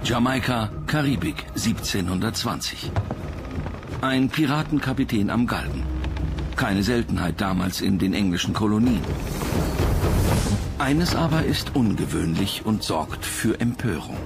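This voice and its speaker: A man's voice: lowish voice, expressive, unhurried, creaky, over loud music. A man reading scripted documentary narration as the narrator.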